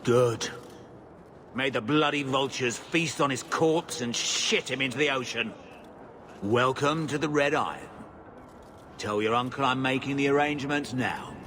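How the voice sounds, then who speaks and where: An actor playing a gruff, coarse-talking mercenary captain in a video game, over music. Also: male voice, mid-range voice, slight background noise, natural voice. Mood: grimly satisfied. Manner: blunt, coarse, unhurried. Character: gruff, blunt male mercenary captain who swears. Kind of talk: video game cutscene acting. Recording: loud music behind the voice.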